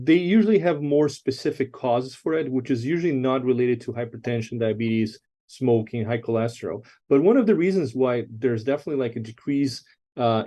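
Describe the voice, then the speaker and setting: A man's voice: mid-range voice, mellow. A man speaking as an expert doctor, explaining things conversationally and often checking in with the listener. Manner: explanatory, frequent check-in tags.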